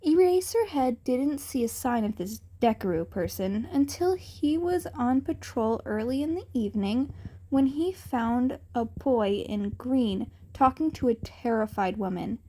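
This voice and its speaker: Female narrator for amateur story reading: high voice, slow, measured pacing. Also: animated, dark tone. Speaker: fan fiction narrator.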